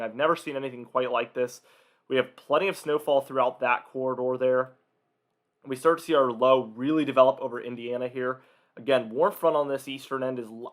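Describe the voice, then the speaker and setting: A man's voice: medium pitch, fairly bright tone. Man with a cold giving weather commentary. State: has a cold, slightly nasal or hoarse. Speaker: weather forecaster and video host. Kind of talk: informal weather briefing commentary.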